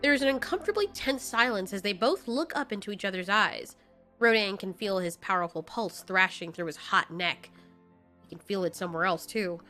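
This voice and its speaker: A woman's voice: mid-range voice, brisk, bright, forceful tone, faint music behind. A woman storyteller giving dramatic scripted narration in an informal, candid way.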